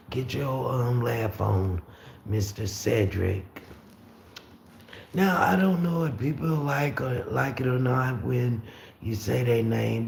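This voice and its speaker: A raspy-voiced man from Florida hosting a casual livestream, talking easily and reading out viewer comments. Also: fairly low pitch, a little mellow. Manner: rambling, easygoing, frequent fillers and restarts. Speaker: livestream host. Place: room at home with the windows open. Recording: roomy echo with faint street noise.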